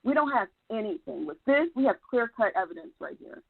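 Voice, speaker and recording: A woman's voice: medium pitch, sing-song, fairly quick, vocal fry. A woman calling in to a talk show, earnest and polite as she lays out her reasoning. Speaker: caller. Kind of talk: call-in commentary. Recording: tinny phone line.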